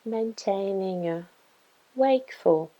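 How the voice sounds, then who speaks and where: A woman guiding a body scan meditation in a gentle, soothing, unhurried way, leaving pauses between phrases. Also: mid-range voice, muffled recording. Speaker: meditation guide.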